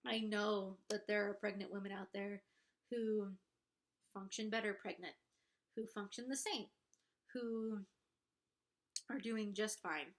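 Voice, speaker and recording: A young adult woman with a congested nose talks casually and candidly to camera as a vlogger, in a halting, self-conscious way. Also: mid-range voice, steady tone. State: congested, pregnant. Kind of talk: casual confessional talk to camera.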